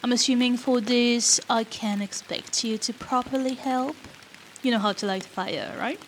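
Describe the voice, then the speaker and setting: A woman's voice: on the high side, fairly even intonation, moderate pace, close to an everyday voice. A woman playing a bratty, foul-mouthed female rogue in a fantasy audio roleplay, teasing the listener with cheeky, sarcastic banter. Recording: rain noise behind the voice.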